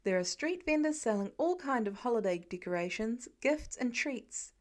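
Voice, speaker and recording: A woman's voice: fairly high pitch, animated, normal speed. A woman reading a scripted story aloud as an audiobook narrator.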